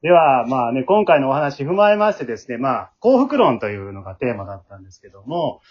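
Male host, very lively intonation, casual interviewing of children, encouraging, echoes answers, muffled recording. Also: mid-range voice, quick pace, slightly rough. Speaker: host of an amateur drama group's aftertalk. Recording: muffled, band-limited sound.

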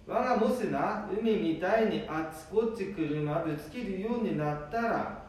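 Radio drama grandpa, male actor: elderly, proud, blustering, high-pitched, Nambu dialect; very reverberant room. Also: expressive, moderate pace, darkish tone, slight background noise. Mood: boastful, defiant. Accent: Nambu dialect of northeastern Japan. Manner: defiant, blustering, insistent. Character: stubborn, proud elderly grandfather who refuses to stop driving. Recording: very echoey room, distant mic.

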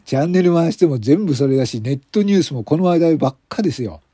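A man voicing an excitable young male police detective in a radio drama, cheerful and deferential to his seniors. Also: fairly high pitch, fast, husky, dry recording, studio quality, pitched above the performer's own voice. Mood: giddy, amazed.

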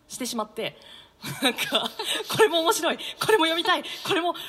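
A woman's voice: extremely high pitch, very animated, a little fast, fairly bright tone. Chatty woman co-host in reverberant sound. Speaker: radio show co-host, actress. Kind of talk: chatty radio show hosting.

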